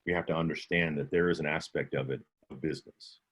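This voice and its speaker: A man's voice: a bass voice, steady tone, fast talker, a bit bright, breathy edge. A man with a slight Southern accent, an audiobook narrator answering questions in a conference Q&A over a video call, talking casually and often restarting his sentences. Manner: conversational, with many restarts and self-corrections.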